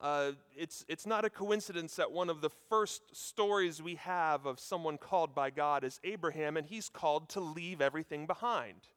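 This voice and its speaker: A man in his thirties from the United States preaching a sermon at a seminary chapel, with a loud, projecting voice and a conversational manner, speaking directly to his listeners. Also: fairly high pitch, normal speed, few pauses, clear, resonant tone. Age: 30s. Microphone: handheld or lapel mic. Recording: hall reverb.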